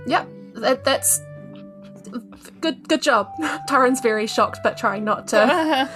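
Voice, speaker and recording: A woman's voice: high-pitched, slow pace, a bit bright, soft background music. A woman with a New Zealand accent playing a tabletop roleplaying game, improvising casually.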